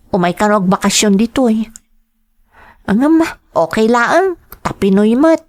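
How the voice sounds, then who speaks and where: A woman's voice: fairly high pitch, lightly acted. A woman playing a meek, respectful young Filipina domestic helper in an audio drama, with an Ilocano accent. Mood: polite, explaining. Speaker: narrator and lead actor. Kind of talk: dramatized audio story, acted dialogue and first-person narration.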